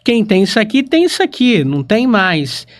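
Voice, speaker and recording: A man's voice: high-pitched, very animated, normal speed. A man with a Brazilian accent hosting a casual conversational interview, reacting and asking many curious questions. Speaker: podcast host, interviewer.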